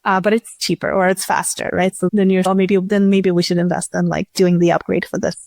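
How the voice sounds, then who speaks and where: A woman's voice: fairly low pitch, little pitch movement, brisk, gravelly, darkish tone, dry recording, studio quality. A woman giving casual, chatty interview answers as a startup chief executive, often restarting sentences and leaning on filler words. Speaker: startup CEO, interview guest.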